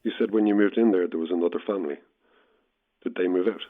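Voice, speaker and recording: A man's voice: low-pitched, quick pace. An actor playing a prying, menacing man posing as a reporter, asking probing questions through a telephone filter. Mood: probing, sympathetic. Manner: probing, insistent. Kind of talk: audio horror drama acting. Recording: thin band-limited phone line.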